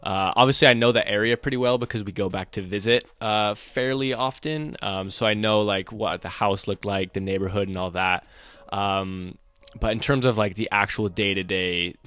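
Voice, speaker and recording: A man's voice: fairly low pitch, steady tone, normal speed, fairly bright tone, clear, resonant tone, no echo. A young man from the United States, a guest on a podcast, talking in an easygoing way with lots of fillers. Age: young adult. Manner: easygoing, filler-heavy talk. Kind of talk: casual interview.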